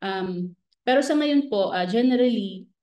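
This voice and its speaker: A woman's voice: medium pitch, animated, unhurried, muffled recording. A woman with a Filipino accent speaking as an expert guest in a radio interview, politely explaining and mixing Filipino with English.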